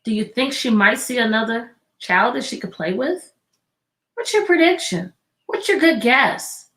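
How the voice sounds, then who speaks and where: A woman with a somewhat high voice teaches through a picture-book read-aloud. She is expressive and deliberate, and she speaks directly to young listeners to prompt them. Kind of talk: children's read-aloud with questions.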